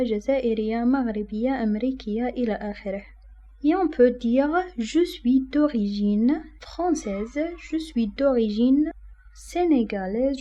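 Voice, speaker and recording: A woman teaching a beginner language lesson, saying each example slowly and carefully, then explaining quickly in a second language. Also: a little high, dark tone, lo-fi sound.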